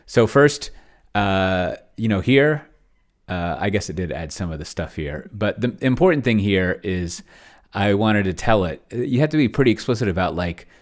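A man's voice: lowish voice, lively intonation, moderate pace. A middle-aged man giving a casual, unscripted walkthrough as a host, rambling and often interrupting himself in an offhand way. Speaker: host of a tabletop roleplaying tech channel. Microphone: desk microphone close to the mouth.

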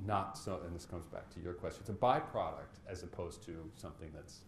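A man speaking as a panelist, animated and halting, with fillers and restarts. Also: low-pitched. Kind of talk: panel discussion. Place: lecture hall stage, panel table. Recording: echoey hall, faint noise.

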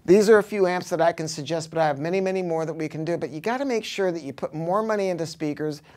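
An American man in his sixties giving a casual, unscripted product pitch as a hi-fi dealer, with an animated, emphatic, slangy delivery. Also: fast talker. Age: 60s. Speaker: hi-fi dealer presenting a product review. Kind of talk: casual unscripted sales talk. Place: carpeted audio showroom with curtains and acoustic panels.

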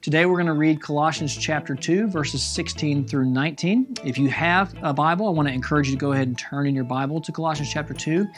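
A man's voice: a little high, quick pace, hardly any pauses, faint music behind. A man, speaking as a pastor in an earnest, encouraging devotional style. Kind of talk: devotional talk with scripture reading and prayer. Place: small studio with acoustic foam walls.

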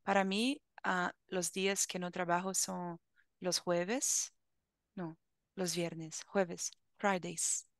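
A young woman teaching an online Spanish class, talking slowly and carefully, with encouraging remarks, over a headset on a video call. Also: on the low side, level tone, no room sound, clean studio sound. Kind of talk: online language lesson chat.

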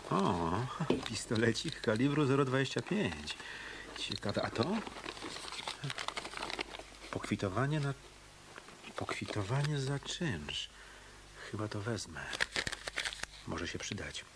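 An actor playing a tough, wisecracking hotel detective in a radio drama, with a wry, sardonic manner. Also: male voice, on the low side, very animated, slow, pauses often, heavy background noise, heavily acted. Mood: wry probing.

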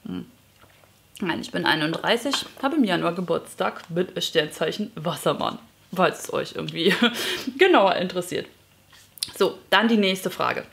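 Woman, thirties, vlogger, chatty, self-mocking, lowish voice, living room. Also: unhurried, vocal fry. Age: 30s. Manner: chatty, self-mocking, animated hand gestures. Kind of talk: casual chat to camera. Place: bright living room indoors.

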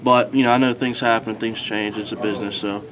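A young man talks in a slightly low voice, pausing often and filling gaps with fillers as he answers reporters. Handheld recorders sit close to him, and the chatter of a crowded indoor scrum carries behind his voice. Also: fairly even intonation, rough recording. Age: young adult. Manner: halting, many fillers and restarts. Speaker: college football linebacker. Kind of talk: post-practice media scrum answers. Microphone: reporters' handheld recorders held close.

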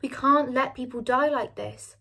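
An earnest teenage girl in a storybook narration, acted out by a woman, pleading and passionate, her pitch lifted a little above ordinary talk. She sounds stubborn and sincere. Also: lively intonation, moderate pace, a bit bright. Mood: urgent.

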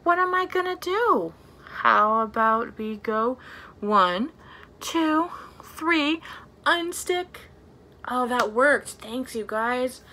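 A woman in her thirties leading a storytime for young children as a librarian, talking in an animated way with simple, exaggerated phrasing. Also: high-pitched, drawn-out words. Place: small plain indoor room.

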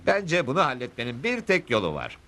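A man playing a calm, shrewd cowboy hero with a matter-of-fact manner in a Turkish cartoon dub. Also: mid-range voice, fairly quick. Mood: calm, confident. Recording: loud music behind the voice.

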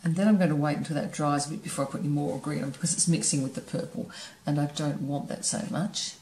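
A woman teaching painting, talking in a relaxed way as she paints and explaining each step. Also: low voice, fast talker, echoey room, slight background noise, poor audio. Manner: relaxed, thinking aloud while painting. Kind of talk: painting demonstration narration. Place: studio table, camera overhead.

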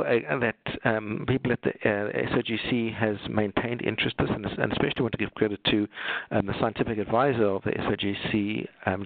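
A middle-aged South African man giving an unscripted webinar talk on obstetrics, halting, with many fillers and restarts. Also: mid-range voice, dry recording. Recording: tinny phone-like call audio, dry.

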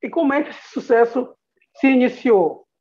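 A Brazilian woman with a slightly high voice walks listeners through a business plan on a video call. She is upbeat and motivational and speaks to them directly. The audio is a bit dull and lo-fi. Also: normal speed, warm tone, breathy edge. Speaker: business opportunity presenter. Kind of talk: motivational slideshow presentation over a video call.

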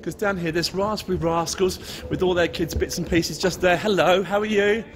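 A man's voice: high voice, steady tone, moderate pace. An English man filming a casual walking vlog at an outdoor market on a gimbal camera, chatty and jokey, chuckling as he chats with stallholders. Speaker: vlogger touring a Christmas market. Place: busy outdoor Christmas market street. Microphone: handheld camera on a gimbal. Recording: crowd noise of a busy street market. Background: crowd chatter.

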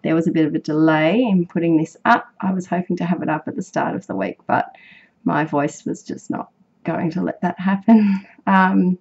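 A young woman talks through her scrapbooking in a low, croaky, husky voice, worn rough by illness. Her tone is warm and mellow, and she rambles with fillers and corrects herself as she goes. The recording is dull and lo-fi. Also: moderate pace. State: croaky, sick voice. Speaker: scrapbooking vlogger. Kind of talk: casual voice-over narration of a craft process.

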